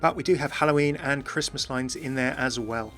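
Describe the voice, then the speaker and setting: A man's voice: medium pitch, a little fast, a bit bright. A man hosting a gaming channel, giving enthusiast commentary as he presents game voice clips. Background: background music.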